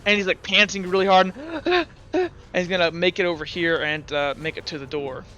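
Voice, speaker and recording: High, bright-voiced male game master. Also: expressive, moderate pace, dull audio. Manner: talks through rules and dice aloud, often correcting himself. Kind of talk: narrating and refereeing a tabletop game.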